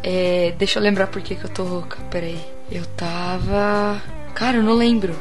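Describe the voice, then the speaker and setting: A woman co-hosting a casual talk show, hoarse this week, chatting loosely and laughing often. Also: on the low side, moderate pace, soft background music. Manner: laughs often, relaxed banter. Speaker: podcast co-host. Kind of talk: casual chat and sponsor read.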